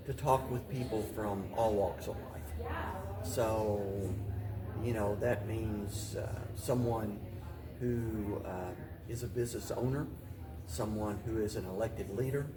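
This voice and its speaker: An American man answering interview questions in a deliberate, halting way, often restating his phrases as he goes. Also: on the low side. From Paducah, Kentucky, United States. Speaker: mayor and interview guest.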